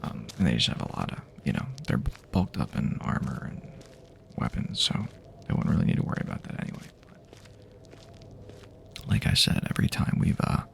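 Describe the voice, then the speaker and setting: A man speaking softly and half-whispering in a halting, casual, rambling way, improvising an immersive ASMR roleplay as an apocalypse survivor. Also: rumbling low, big pitch swings, a little hiss or hum. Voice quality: breathy, half-whispered, gravelly. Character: weary friendly male apocalypse survivor guiding a companion.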